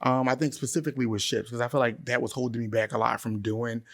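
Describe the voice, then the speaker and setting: A middle-aged man speaks as a musician being interviewed. His pitch sits in the middle range. He talks in a casual, colloquial storytelling way. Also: fairly even intonation, fast, creaky, a bit bright. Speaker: interview guest, singer-songwriter.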